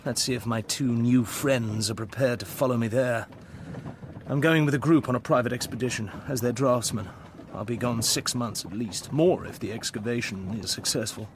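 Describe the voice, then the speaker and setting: An actor playing a young Victorian art teacher, earnest and troubled, with an English accent in a period audio drama. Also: male voice, medium pitch, normal speed, lightly acted. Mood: weary. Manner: urgent, earnest, weary. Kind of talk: period radio drama acting. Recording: street ambience and noise behind.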